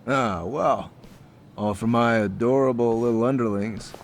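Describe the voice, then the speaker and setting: A man's voice: medium pitch, measured pace, a little hiss or hum, close to an everyday voice. An actor playing a cocky, swaggering male student gang leader in a video game, with a sneering, taunting drawl. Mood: smug, swaggering.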